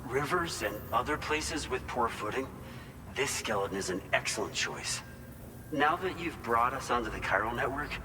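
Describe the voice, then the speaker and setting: A lowish-voiced actor playing a male game outpost staffer, earnest and hopeful, who explains things politely and with measured care. He sounds like he is in a reverberant hall with loud ambient noise around him. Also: close to an everyday voice. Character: earnest male facility staffer briefing a porter, grateful and hopeful. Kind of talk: video game cutscene dialogue.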